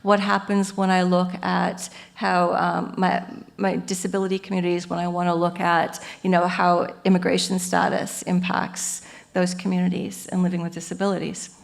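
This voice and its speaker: A woman professor giving an academic lecture at a symposium, lively and earnest, explaining ideas through everyday metaphors. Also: on the low side, normal speed, gravelly. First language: English. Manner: lively and earnest, with frequent "you know" and "um".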